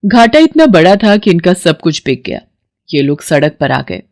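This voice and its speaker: A woman's voice: lowish voice, sing-song, normal speed, a little mellow, dry recording, clean studio sound. A woman narrator telling a scripted story in a dramatic storytelling style.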